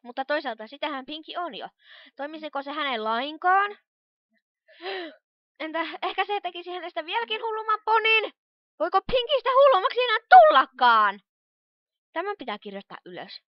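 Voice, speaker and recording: A woman's voice: very high voice, animated, pauses often, dry recording. A woman voicing a studious, cautious young pony magician in a cartoon fan dub, explaining things in a precise, earnest way. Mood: musing. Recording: dull lo-fi home recording.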